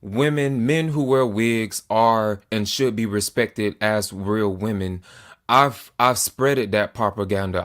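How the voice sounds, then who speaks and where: A man in his twenties speaks straight to the camera in an earnest, measured way. His voice sits a little on the low side, and he sometimes stumbles and restarts a phrase. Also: a bit bright. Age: 20s. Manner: earnest, deliberate, with small restarts. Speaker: political commentator.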